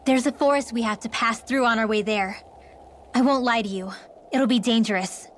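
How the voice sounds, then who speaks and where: A woman's voice: fairly high pitch, moderate pace, slight background noise, lightly acted. A young woman voicing a plucky, candid girl guide in a video game. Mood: frank, cautioning.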